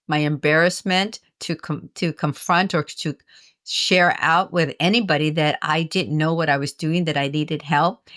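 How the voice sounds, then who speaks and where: A woman's voice: lowish voice, fairly bright tone. An older woman from the United States, a retired teacher, speaks earnestly and reflectively in an interview, often restarting her phrases. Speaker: guest, author and retired teacher. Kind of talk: candid interview conversation.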